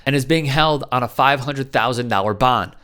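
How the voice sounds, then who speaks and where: A man's voice: mid-range voice, moderate pace, sharp tone, clean tone. Man reading sports news steadily. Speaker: sports show host. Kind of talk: news commentary read from script.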